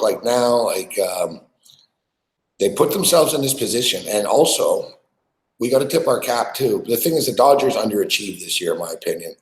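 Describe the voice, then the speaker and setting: A man chats loosely on a live sports betting show, loud and full of swearing as he rambles between topics. His voice sits a little low. The room around him is echoey. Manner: loud, profane, rambling banter. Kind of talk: casual live-stream chat while eating. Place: small studio.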